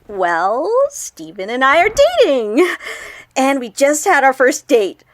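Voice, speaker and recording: A young woman confiding in her vlog audience in an audio drama. Her voice is on the high side, girlish and expressive, with an awkward, excitable streak. The sound is dry, with no room around it. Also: slow pace, no echo, full character voice. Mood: giddy, excited.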